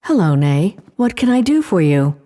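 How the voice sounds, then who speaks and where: A woman's voice: fairly low pitch, big pitch swings, normal speed, dark tone. A woman voicing a polite, literal shipboard AI assistant in a sci-fi audio drama, formal and helpful. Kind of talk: full-cast sci-fi audio drama acting.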